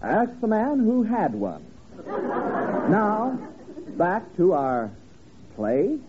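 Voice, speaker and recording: A narrow, noisy old broadcast with an audience carries a folksy pitchman. His high voice delivers earnest spoof sales patter in vintage radio comedy style. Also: wildly swooping pitch, extremely slow, a crowd in the background, exaggerated voice. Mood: earnest selling. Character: folksy tavern pitchman reading spoof adverts.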